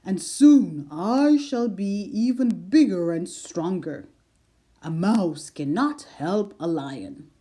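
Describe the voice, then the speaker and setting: A woman voicing a proud, strong male lion who speaks loudly and firmly. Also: mid-range voice, lively intonation, slow. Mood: boastful. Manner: louder, firm and proud.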